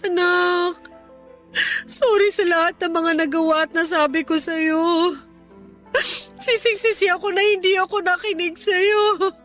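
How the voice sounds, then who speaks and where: A woman's voice: very high-pitched, steady tone, measured pace, fairly bright tone, faint music behind, heavily acted. A woman playing a remorseful, affectionate middle-aged mother in a radio drama, speaking with pleading emotion. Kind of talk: Tagalog radio drama acting.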